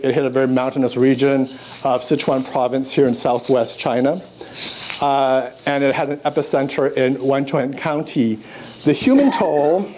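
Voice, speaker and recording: A man born in Burma giving an academic conference talk on art, pausing often with uh and um as he walks through his slides. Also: fairly high pitch, dark tone, poor audio. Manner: halting, many uh and um fillers, pointing to slides. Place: lecture room at a conference.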